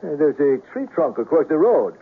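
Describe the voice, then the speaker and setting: A man's voice: a little high, big pitch swings, moderate pace. A man playing a polite, wary Spanish guide in a 1970s radio drama. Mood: uneasy. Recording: tinny, slightly noisy old radio broadcast.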